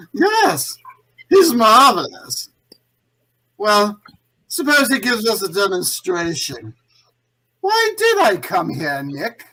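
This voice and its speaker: A gloating crime boss from a 1940s radio thriller. The voice swoops widely and takes its time over every pompous phrase. It is husky, suave and falsely courteous. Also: male voice, sits high, wildly swooping pitch, drawn-out words, pauses often, pushed and bright, exaggerated voice, rough recording. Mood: mocking, menacing. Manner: pompous, drawling, mock-courteous. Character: suave, theatrical, gloating male escaped-convict villain and gang boss. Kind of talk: 1940s radio drama acting.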